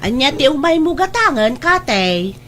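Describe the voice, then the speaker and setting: Woman acting a bright, high, slow older auntie. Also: sing-song, faint music behind, full character voice. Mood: curious, puzzled. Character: curious older village auntie. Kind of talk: scripted audio comedy drama dialogue.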